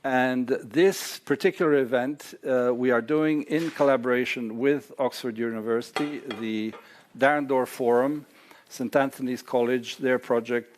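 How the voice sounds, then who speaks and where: A man hosting a conference panel, introducing speakers with many hesitant pauses. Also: medium pitch. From Serbia. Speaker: conference host. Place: lecture hall or library.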